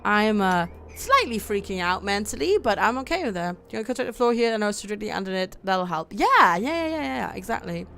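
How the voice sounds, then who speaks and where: A woman's voice: mid-range voice, very animated, fairly quick, creaky, few pauses, a bit bright, a little hiss or hum. A young woman from Germany chatting casually with her viewers while playing a game on a livestream, reading out chat and laughing often. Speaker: streamer.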